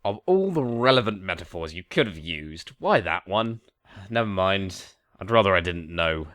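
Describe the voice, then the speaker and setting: A man's voice: deep voice, lively intonation, moderate pace, a bit bright. A man voicing a sarcastic, exasperated young man in a parody cartoon. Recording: music behind.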